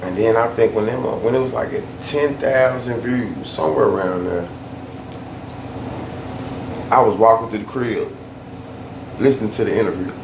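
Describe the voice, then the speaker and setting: Gravelly, slangy man ranting. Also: lowish voice, moderate pace, pauses often. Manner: loose, slangy, repeats himself for emphasis. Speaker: YouTube storyteller. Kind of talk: casual ranting vlog. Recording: echoey room, faint background hum.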